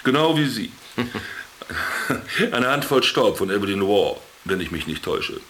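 A man's voice: on the low side, sing-song, unhurried, fairly bright tone, a little hiss or hum. An actor playing a wry, cynical stranded businessman in a radio drama, ironic and patronizing as he talks. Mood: storytelling. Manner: ironic, patronizing, addresses the other formally. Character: Philipp, stranded ex-businessman, wry, garrulous, cynical camp companion.

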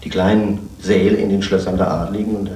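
A man's voice: deep voice, little pitch movement, fairly quick, warm tone, echoey room, distant mic, slight background noise. A man explaining something in an interview in informal, spontaneous speech.

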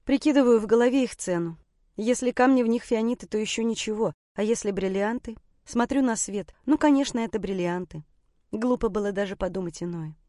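A woman's voice: on the high side, fairly quick, dark tone, no echo, studio quality. A woman reading a scripted first-person story as an audiobook narrator.

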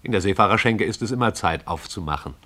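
A man narrating a radio play in a measured, poetic storytelling manner. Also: deep voice, expressive, bright, forceful tone.

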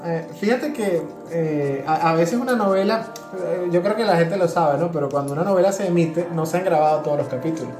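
A man with a Venezuelan accent co-hosts a casual podcast. He explains things haltingly, repeating words as he goes, in a roomy space with music clearly behind. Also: a little high, darkish tone. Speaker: podcast co-host.

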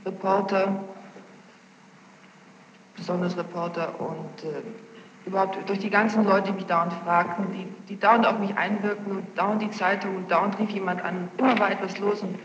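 A woman giving courtroom testimony as a witness, defensive and halting, often repeating herself. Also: mid-range voice, fairly even intonation. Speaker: witness under questioning. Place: large echoey courtroom, archive tape. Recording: very noisy muffled tape, echoey hall.

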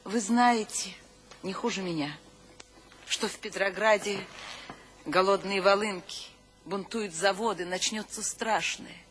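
A woman's voice: medium pitch, measured pace, a bit bright, a little hiss or hum, natural voice. An actress playing a solemn, commanding female poet and conspirator, grave and urgent, in a radio drama. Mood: ominous, persuasive. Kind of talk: theatrical radio drama acting. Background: music.

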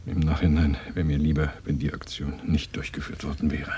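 An actor in a radio drama playing a bitter, probing former resistance fighter with a sardonic, interrogating manner. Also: male voice, rumbling low, level tone, fairly quick, dark tone, a little hiss or hum. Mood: grave, insistent. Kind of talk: 1980s German radio drama dialogue.

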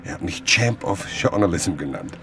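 A man's voice: sits low, very animated, normal speed, creaky, background noise, full character voice. An actor playing an obsessive, suspicious and self-important male journalist in a German radio drama. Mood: boastful, reassuring.

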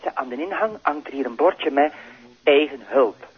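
An actor playing an eager, nosy Flemish police officer reporting over a telephone line in an audio drama. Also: male voice, a little high, animated, normal speed, slightly rough. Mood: eager, inquisitive. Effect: telephone filter. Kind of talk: audio drama acting, conversational. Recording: dull lo-fi phone sound.

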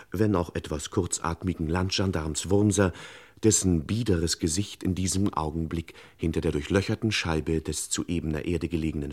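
Deep wry narrator guy for old radio crime serial, mock-solemn, long fancy sentences.

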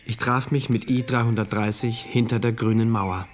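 An actor in a radio play playing an earnest, inwardly shaken mathematician who narrates his diary in a measured way. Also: mid-range voice, steady tone, close to an everyday voice. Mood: reflective, narrating. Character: earnest male mathematician diarist, dutiful, brooding, inwardly shaken. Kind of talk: radio play first-person narration and dialogue. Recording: music behind the voice.

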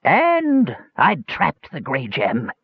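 A man voicing a cursed, sorrowful old shapeshifting wizard lord in a fantasy audiobook, slow and despairing. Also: fairly high pitch, huge pitch swings, husky, no echo, voice pushed up, heavily acted. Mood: frantic. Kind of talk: dramatic fantasy audiobook character voice. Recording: slightly dull, lo-fi audio.

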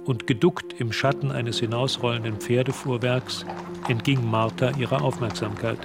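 An actor narrating a literary radio drama as a composed storyteller, reading scripted prose. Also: male voice, mid-range voice, steady tone, moderate pace, vocal fry, over loud music, natural voice.

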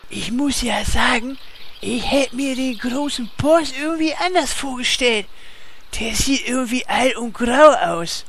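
A woman voicing a hot-headed, crude male warrior who talks bluntly and shouts. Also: a little high, a little slow, slight background noise. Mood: gruff.

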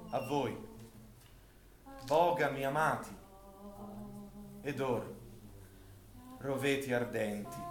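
An actor playing a solemn priest who recounts a wartime massacre in dramatic recitation. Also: male voice, medium pitch. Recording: roomy hall, background crowd sound.

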